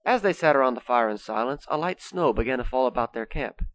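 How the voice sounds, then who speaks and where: A man's voice: mid-range voice, animated, fast, no echo. A man reading scripted, storybook-style narration for an amateur radio play.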